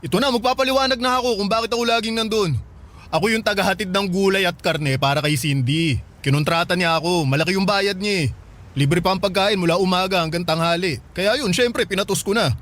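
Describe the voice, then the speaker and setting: A man's voice: sits high, brisk, fairly bright tone. A man playing a shy, smitten young Filipino market porter in a radio drama, coaxing and pleading. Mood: sheepish, explaining. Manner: pleading, sheepish.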